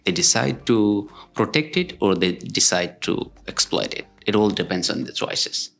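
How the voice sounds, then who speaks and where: A young man with a Nepali accent, a conservation researcher explaining his work in halting, thoughtful sentences with restarts. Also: fairly low pitch, lively intonation, creaky, heavy background noise. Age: young adult. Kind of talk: casual conversation.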